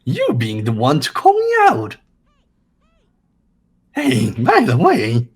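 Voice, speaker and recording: Creaky raspy evil cartoon bear, lewd and teasing, pitch all over the place, music behind. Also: huge pitch swings, takes it slowly, heavily acted. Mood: gleeful taunting. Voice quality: raspy, gravelly. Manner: teasing, theatrical, leering. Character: mischievous, lewd, taunting robotic teddy bear mastermind. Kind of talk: cartoonish video game character acting.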